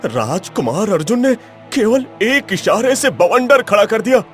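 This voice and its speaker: A man plays a flustered servant of a royal palace in an animated folk tale. His voice sits on the high side, frightened and respectful. Light music is heard behind. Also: measured pace, exaggerated voice. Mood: panicked. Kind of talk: animated moral tale voice acting.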